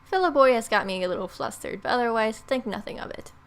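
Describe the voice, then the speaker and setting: A woman's voice: a little high, animated, fast talker, clean tone. A young adult woman reading a visual novel aloud as a let's play host, with playful, wry asides.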